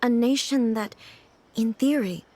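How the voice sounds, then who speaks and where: A woman voicing an earnest, old-fashioned immortal ruler in a video game, speaking formally with measured, precise diction. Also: a little high, warm tone. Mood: solemn. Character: regal, earnest, old-fashioned immortal female ruler, puzzled by modern things. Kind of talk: video game character dialogue.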